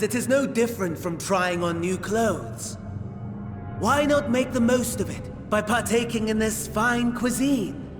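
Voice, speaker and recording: High-voiced theatrical gleeful villain. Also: male voice. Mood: mocking courtesy. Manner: mannered, sardonic, drawn-out courtly delivery. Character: theatrical, gleeful, mocking male villain playing courteous host. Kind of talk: video game cutscene acting.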